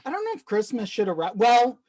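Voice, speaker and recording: Wry man with a high voice, dry sound. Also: big pitch swings, brisk, a little mellow, dry recording. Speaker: podcast guest. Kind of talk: casual comedic chat.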